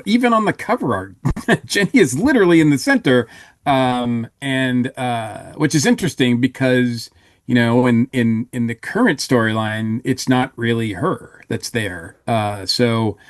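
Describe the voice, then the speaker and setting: A man talking casually as a podcast panelist, halting but enthusiastic as he reviews a show. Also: mid-range voice, no echo, studio quality. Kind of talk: casual review chat about an audio drama.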